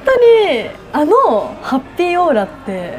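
A young woman co-hosting a casual chat, giggly and quick to agree eagerly. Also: high-pitched, wildly swooping pitch, low-quality recording. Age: young adult. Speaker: podcast co-host. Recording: chatter of people behind. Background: crowd noise of an eatery.

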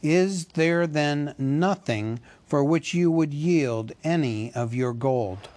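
A man voicing a grave, steady leader who bargains calmly and firmly, in a storybook reading. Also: on the high side, takes it slowly. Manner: calm, firm.

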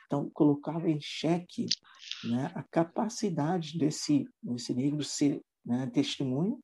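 A man who teaches English, talking casually in an interview and often pausing on fillers and restarts. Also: on the high side, a crowd in the background. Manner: hesitant, many fillers and restarts.

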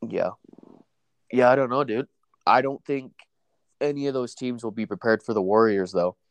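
A man's voice: medium pitch, moderate pace, smooth, no echo. A young man with a stuffy nose from allergies, chatting casually about work and sports, saying "dude" and "bro" a lot. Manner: laid-back, says "dude" and "bro" a lot.

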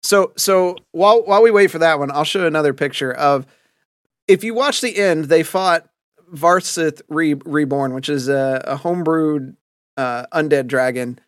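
A man's voice: fairly high pitch, moderate pace, no echo, studio quality. A man acting as game master, chatting casually and rambling through explanations of a past campaign. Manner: rambling explanations with many restarts. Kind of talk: casual stream chat about a past campaign.

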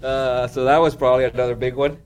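A man's voice: mid-range voice, flat delivery, fast talker, darkish tone, clean tone. A man from Honduras who works as a wildlife photographer, telling stories in a casual interview. He rambles, leans on "you know" and laughs often.

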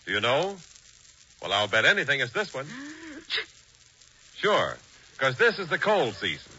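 Lively male 1940s radio announcer. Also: medium pitch, unhurried. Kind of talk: 1940s radio advertising pitch. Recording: noisy, muffled 1940s radio recording.

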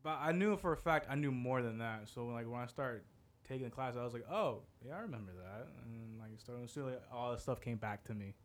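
A young man of Dominican background chatting casually on a podcast, telling stories and acting out what people said. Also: brisk. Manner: animated storyteller, acts out quotes with rising pitch. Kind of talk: casual chat.

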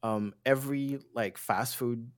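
A man's voice: medium pitch, a little slow. A man, a podcast guest chatting casually, often restarting and repeating words. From Haiti. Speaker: podcast guest, streaming coach.

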